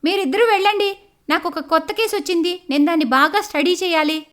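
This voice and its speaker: A woman voicing a sharp, argumentative young lawyer daughter-in-law in a cartoon moral story. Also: very high voice, fast talker, voice pushed up. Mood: pointed, insistent. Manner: argumentative, warning, pointed.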